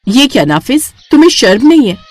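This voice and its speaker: A woman's voice: sits high, very animated, brisk, pitched above the performer's own voice. A woman playing a poor, devout mother in a children's story, emphatic and dramatic as she scolds or pleads. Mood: angry. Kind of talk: children's animated moral story acting.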